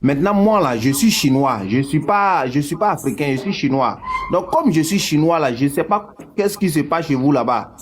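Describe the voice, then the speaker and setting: A man's voice: fairly high pitch, expressive, normal speed, few pauses, slight background noise. A man in a casual group voice chat who patiently explains himself again and again.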